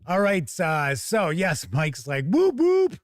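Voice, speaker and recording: A man's voice: high voice, huge pitch swings, slow pace, no echo, studio quality. A middle-aged man hosting a tabletop gaming livestream, chatting casually in a rambling, informal way. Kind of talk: casual livestream chat and product first look.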